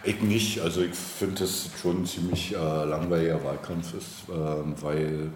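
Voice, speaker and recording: A man speaking as a politician in a casual interview, halting as he searches for words. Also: low-pitched, fairly even intonation, darkish tone. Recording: roomy echo, clear background noise.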